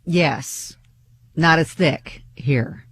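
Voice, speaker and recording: A woman from northern Indiana co-hosting a radio show, chatting casually, replying quickly and reading listener texts aloud. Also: low-pitched, a bit bright, breathy edge, dry recording. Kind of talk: casual on-air chat.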